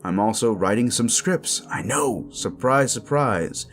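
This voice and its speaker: A man's voice: fairly low pitch, lively intonation, measured pace, soft background music. A man speaking casually to his listeners as the creator and host of an audio channel. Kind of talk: casual outro addressed to listeners.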